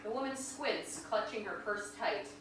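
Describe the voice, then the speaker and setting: A woman's voice: mid-range voice, lively intonation, measured pace, a bit bright. A woman reading stage directions as scripted narration at a staged play reading. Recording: very echoey hall, distant mic, faint noise.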